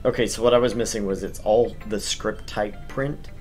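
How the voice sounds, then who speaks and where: A man's voice: fairly low pitch, steady tone, moderate pace, a little mellow, faint music behind. A man hosting a gaming stream, chatting casually and reading aloud in an informal, joking way. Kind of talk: casual chat and visual novel read-aloud.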